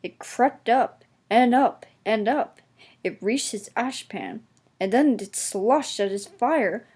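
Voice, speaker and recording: Slow-paced woman narrating a children's story. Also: on the high side, expressive, darkish tone, breathy edge.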